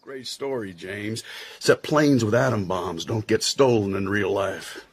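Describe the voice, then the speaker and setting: An actor playing a jaunty, irreverent American intelligence agent in a radio drama, husky and slangy, with an American accent. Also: male voice, lowish voice, measured pace, no echo. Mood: sober, sardonic. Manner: slangy, wisecracking.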